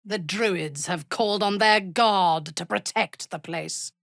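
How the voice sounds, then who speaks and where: An actress voicing a ruthless, haughty female warrior commander in a video game, with a husky, breathy voice and an imperious, menacing delivery. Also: mid-range voice, slow pace, a bit bright, no room sound, clean studio sound. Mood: imperious, scornful. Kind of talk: dramatic video game voice acting.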